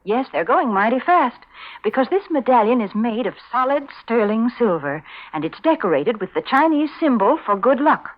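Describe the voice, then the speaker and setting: Lively, high-voiced 1940s radio saleswoman. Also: normal speed. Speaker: sponsor's announcer. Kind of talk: 1940s radio advertising patter. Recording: slightly muffled 1940s radio broadcast.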